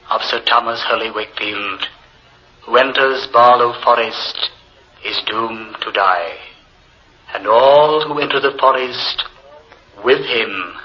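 An actor playing a solemn, portentous voice intoning a curse in 1940s radio horror. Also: male voice, mid-range voice, close to an everyday voice. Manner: portentous, drawn out. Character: ominous, solemn male voice intoning a family curse. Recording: muffled old radio broadcast.

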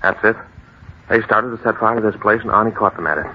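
A man's voice: lowish voice, quick pace, muffled recording, lightly acted. Actor as an earnest young man, noisy old radio. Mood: take-charge. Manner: emphatic, theatrical, urgent. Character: earnest, protective young man, increasingly alarmed. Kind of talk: 1940s radio drama acting.

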